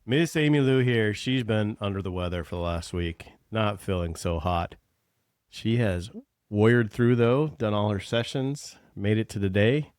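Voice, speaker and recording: A man's voice: on the low side, a little slow, darkish tone, smooth, dry recording, studio quality. A man co-hosting a podcast as a coach, talking in a blunt, teasing, earnest way. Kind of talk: casual podcast chat.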